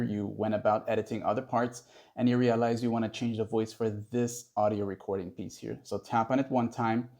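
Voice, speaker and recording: Guy walking thru an app tutorial, casual, dark relaxed voice, medium pitch. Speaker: tutorial host. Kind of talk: casual step-by-step software tutorial narration.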